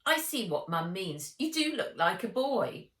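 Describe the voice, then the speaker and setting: A woman's voice: mid-range voice, very animated, a little slow, a bit bright, pitched above the performer's own voice. A woman voicing a snooty, scornful, taunting girl in a children's storybook. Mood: sneering. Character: snooty, sneering girl, mean older sister. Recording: roomy echo, distant from mic.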